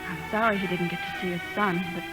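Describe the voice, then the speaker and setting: A woman's voice: fairly low pitch, fairly even intonation, fast talker, over loud music, close to an everyday voice. An actress playing a candid young widowed mother at college in an old radio drama. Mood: earnest. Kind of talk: 1950s radio drama acting.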